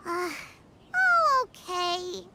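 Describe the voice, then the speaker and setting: A woman voicing a tiny, excitable childlike fairy companion in an anime-style game, squeaky and exclamatory. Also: extremely slow, a little hiss or hum, heavily acted. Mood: flustered. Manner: refers to herself in third person, exclamatory. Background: music.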